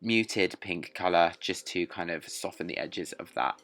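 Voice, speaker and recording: A man's voice: low voice, animated, measured pace, fairly bright tone. A young man with a sore throat, narrating a makeup tutorial step by step in a chatty way. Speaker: drag performer and makeup vlogger.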